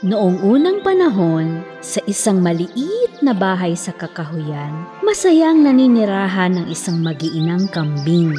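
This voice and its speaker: Isang babaeng nagsasalaysay ng nakasulat na kuwentong pambata, may alingawngaw at mahinang musika sa likod. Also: female voice, fairly low pitch, sing-song, unhurried, warm tone. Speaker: storyteller. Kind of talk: scripted children's fairy tale narration. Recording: long reverb, faint music behind.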